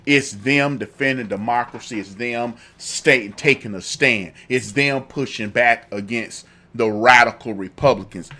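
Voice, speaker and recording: A man's voice: mid-range voice, bright, forceful tone. A man hosting a political talk show with a lingering cough, repeating himself as his commentary builds into heated indignation. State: fighting a cough.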